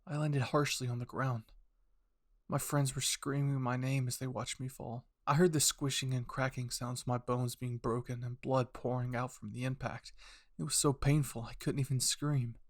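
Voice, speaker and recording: A man's voice: mid-range voice, level tone, normal speed, dry recording. Dry, close man narrating a dramatic story. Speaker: fan fiction narrator.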